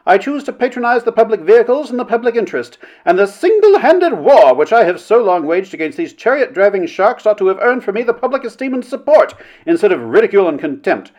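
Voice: medium pitch, sing-song, fast talker, few pauses, darkish tone, pitched above the performer's own voice, natural voice. A narrator voicing a self-important, quarrelsome woman in a story, declaiming with haughty, melodramatic indignation. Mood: pompous indignation.

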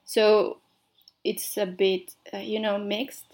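A woman's voice: mid-range voice, little pitch movement, takes it slowly, darkish tone. A young Mexican woman who speaks Spanish natively, with a mixed Mexican and American-tinged accent, hosting a casual radio show and rambling with lots of "like" and "I don't know". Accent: Mexican, mixed with American English.

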